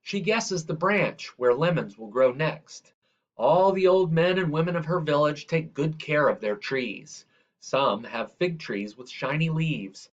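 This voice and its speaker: A man reading a children's story aloud in a measured, expressive way, drawing out the ends of phrases. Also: fairly high pitch, highs cut off. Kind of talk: read-aloud scripted narration.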